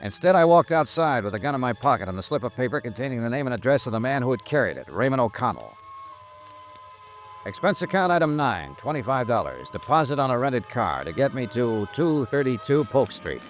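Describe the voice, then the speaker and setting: A man acting a cool, terse insurance investigator in a 1950s American radio drama, narrating in a clipped, deadpan way. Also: mid-range voice, brisk, lightly acted. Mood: wry, matter-of-fact. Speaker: lead actor and narrator. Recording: old radio recording with music.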